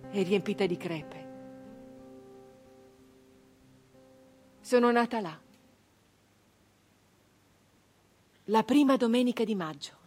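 An actress playing a wistful nineteenth-century peasant brigand woman in a halting, emotional theatrical monologue, with a husky voice. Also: medium pitch, a little mellow, close to an everyday voice. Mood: wistful, nostalgic. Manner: hesitant repetitions, halting, emotional reminiscence. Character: 19th-century Italian peasant-born brigand woman, wistful, remembering home. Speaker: stage actress. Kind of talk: theatrical dramatic monologue for radio.

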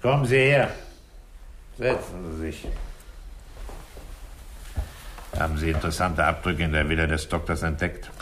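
A deep-voiced, terse police inspector. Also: male voice. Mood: calm, commanding. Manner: curt, unhurried, authoritative. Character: calm, terse, commanding male police inspector. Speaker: radio drama actor. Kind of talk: 1960s German radio crime drama acting. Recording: hissy old radio play recording.

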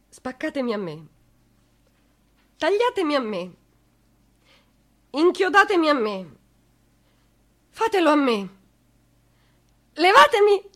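A woman's voice: very high-pitched, a bit bright, voice pushed up, heavily acted. Wailing, desperate Southern Italian mother. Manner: repetitive pleading, wailing in bursts. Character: desperate grieving Southern Italian mother begging to suffer instead. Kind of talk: theatrical radio monologue.

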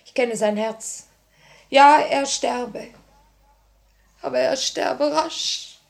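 Grieving young revolutionary, creaky high voice. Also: female voice, very slow, heavily acted. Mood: grave, resolute. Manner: solemn, declamatory, sorrowful. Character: grieving, fervent young woman revolutionary, torn and freezing. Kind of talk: 1950s German-language radio drama acting.

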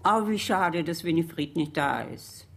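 A woman's voice: low-pitched, unhurried, vocal fry, noisy background. An actress playing a talkative old lady visiting from the countryside, in radio drama style. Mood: chatty. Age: older.

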